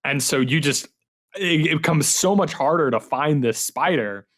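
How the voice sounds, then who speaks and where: A man's voice: a little high, expressive, normal speed, fairly bright tone. A man hosting a podcast, interviewing a guest in a casual, conversational way.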